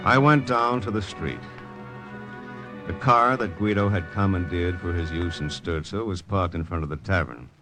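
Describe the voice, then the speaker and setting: Man playing hard-boiled secret agent, low pitch, terse, dramatic narration, old-time radio, music behind. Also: moderate pace, lightly acted. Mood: brisk. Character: hard-boiled male agent telling his own wartime story.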